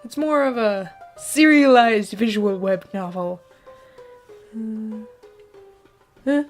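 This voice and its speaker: A woman performing a comedic dub of a webcomic with a brisk, playful delivery and sudden loud outbursts. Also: fairly high pitch, soft background music. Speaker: fan dubber voicing a webcomic. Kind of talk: comedic comic dub, quick skits.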